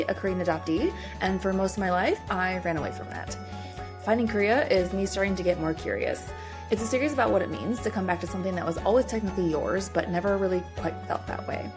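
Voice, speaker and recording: Upbeat woman podcast host. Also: mid-range voice. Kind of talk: scripted intro then interview questions.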